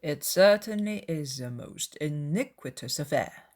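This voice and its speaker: A wry middle-aged gentleman father voiced by a woman in a dramatized classic novel. He speaks with measured, teasing irony and dry mock seriousness. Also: sits low, animated, voice pushed down.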